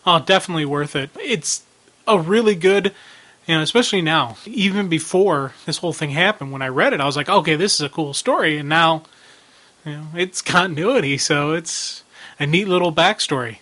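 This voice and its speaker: A man's voice: fairly high pitch, a little fast, sharp tone. A man co-hosting a comics podcast in casual chat, easygoing and agreeable. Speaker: podcast co-host.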